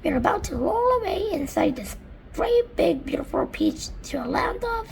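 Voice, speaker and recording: A woman voicing a kind, gentle female ladybird in a storybook reading, speaking earnestly in a raised, high voice. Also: very animated, normal speed, vocal fry, a little hiss or hum, full character voice. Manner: earnest, explanatory, rising high in pitch.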